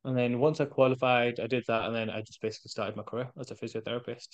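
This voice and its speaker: A British man chatting casually as a podcast guest, telling animated anecdotes and acting out bits of dialogue in a chatty way. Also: medium pitch, rapid-fire. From United Kingdom. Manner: chatty, animated storytelling with self-quoted dialogue.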